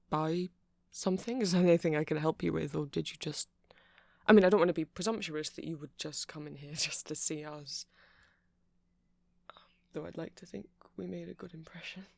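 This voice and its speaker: A man playing a shy, nerdy vampire shop clerk in an ASMR roleplay, rambling gently and hesitantly to the listener. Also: a little high, fairly even intonation, moderate pace, vocal fry, dry recording, studio quality. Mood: flustered, eager. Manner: hesitant, awkward, apologetic, stammering, gentle. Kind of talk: ASMR roleplay, soft casual monologue to listener.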